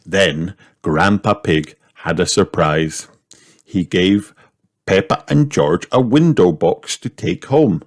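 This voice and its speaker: A man's voice: low-pitched, slow pace. A man narrating a children's storybook aloud as an audiobook reader.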